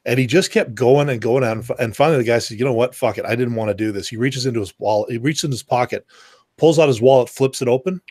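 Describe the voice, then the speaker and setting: A man's voice: medium pitch, fast talker, darkish tone, dry recording. Guy on a podcast telling a story, swears a bunch, dry close sound. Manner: storytelling, acts out quoted exchanges, swears freely. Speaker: podcast co-host. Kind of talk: casual round-table chat.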